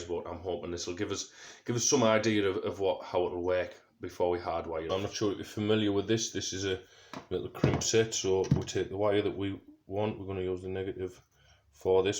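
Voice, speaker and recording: A man giving a casual hands-on DIY tutorial, talking through each step and thinking aloud as he works. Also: deep voice. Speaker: presenter of a DIY car project video. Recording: noisy amateur recording with clicks.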